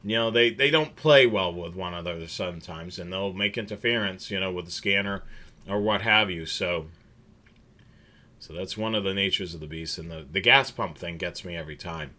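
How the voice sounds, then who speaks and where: A man's voice: deep voice, moderate pace, bright, forceful tone. A man hosting a casual livestream chat, rambling with many fillers and restarts. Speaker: scanner show host.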